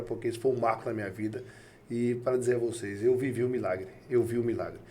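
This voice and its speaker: A man's voice: mid-range voice, dark tone, a little hiss or hum. A man with a Brazilian accent speaking as a guest in a casual conversation, agreeable and repeating himself for emphasis. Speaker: interview guest.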